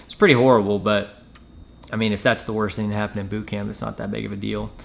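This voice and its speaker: Male storyteller, lowish pitch with heavy vocal fry, casual and matter-of-fact, recounting a personal anecdote. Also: little pitch movement, quick pace. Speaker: storyteller, former Marine recruit.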